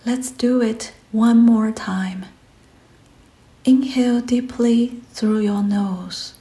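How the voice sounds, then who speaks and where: Slow, soft-spoken woman guiding meditation. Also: fairly high pitch, fairly even intonation. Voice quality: soft, breathy, often near-whispered. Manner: gentle, soothing, pausing between short phrases. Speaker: meditation guide. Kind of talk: guided meditation, scripted.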